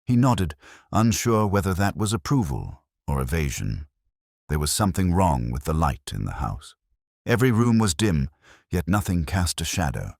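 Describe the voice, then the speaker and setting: Male narrator, extremely low pitch, expressive intonation, measured literary detective storytelling, brooding, dry studio-like sound. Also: gravelly, dry recording. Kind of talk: scripted first-person detective story narration.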